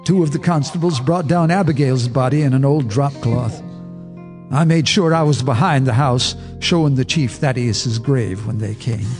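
A man narrating in the first person for a radio drama, measured and grave. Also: medium pitch. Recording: radio drama with faint music underneath.

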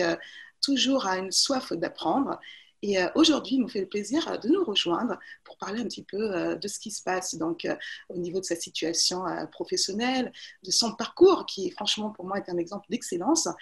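Woman hosting an interview, halting and enthusiastic. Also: mid-range voice, vocal fry. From French Guiana. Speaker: interview show host. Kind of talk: interview hosting, conversational introduction. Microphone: video call.

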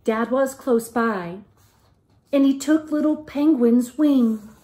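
A middle-aged woman reading a picture book aloud to young children in an expressive teacherly way. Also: a little high, takes it slowly. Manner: expressive, questioning, child-directed delivery. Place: living room at home, indoors.

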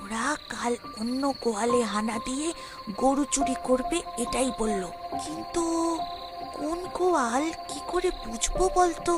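A woman's voice: high voice, unhurried. A woman voicing a brave, determined young village cowherd boy in a children's cartoon, with earnest, dramatic delivery. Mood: hushed, wary. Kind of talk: Bengali children's cartoon dialogue. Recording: music behind the voice.

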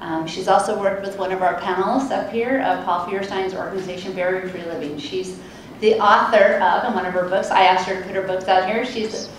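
Calm, flat-voiced woman moderator, echoey room. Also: medium pitch. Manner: calm, slow, gentle, hesitant with fillers. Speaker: panel moderator. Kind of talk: guided meditation and introduction. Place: conference room with a panel table. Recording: very echoey room, distant mic, hiss.

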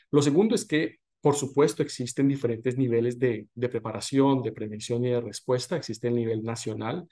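A man in his thirties giving a recorded lecture over slides through a webcam, speaking in a measured way with frequent restarts and fillers. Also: mid-range voice, warm tone.

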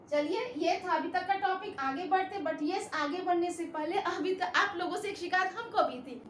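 Middle-aged female teacher, unhurried, drills each phrase twice; bright, sing-song pitch. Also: roomy sound, slight background noise. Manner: explains each phrase slowly, repeating words for learners. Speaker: language teacher. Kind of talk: whiteboard language lesson. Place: indoor room in front of a whiteboard.

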